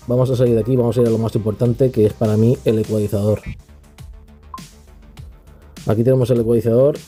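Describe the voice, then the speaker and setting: Spanish guy from spain doing a casual tech review, relaxed dark voice, checks in a lot, bit of music behind. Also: mid-range voice. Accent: Castilian Spanish from Spain. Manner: informal, unscripted, tags phrases with questioning checks. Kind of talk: casual spoken app review.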